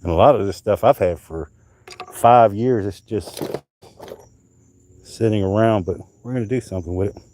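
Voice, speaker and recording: A man's voice: lowish voice, normal speed, pauses often, dark tone, a little hiss or hum. A middle-aged man, rambling casually on a livestream while showing off things he found, swearing as he goes. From North Carolina, US. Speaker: livestream host. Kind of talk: casual livestream show-and-tell. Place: outdoors at night in summer heat.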